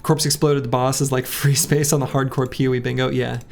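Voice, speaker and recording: Guy streaming a game, kinda hoarse and gravelly, rambly chatter with chat, quiet music behind. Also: mid-range voice, flat delivery, fairly quick. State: slightly hoarse. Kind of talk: casual live-stream chat while playing. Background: faint game music.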